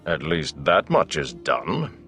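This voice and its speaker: A shrewd older man of a fantasy storybook, performed by a male narrator, speaks softly with gruff, wry edges. Faint music plays underneath. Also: extremely low pitch, wildly swooping pitch, takes it slowly, exaggerated voice. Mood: wry, probing. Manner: soft-spoken, wry.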